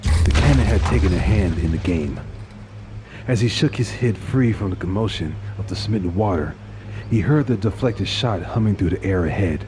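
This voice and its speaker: Deep-voiced man narrating a dramatic tale. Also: lively intonation, normal speed, background noise. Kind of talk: dramatic scripted horror-story narration.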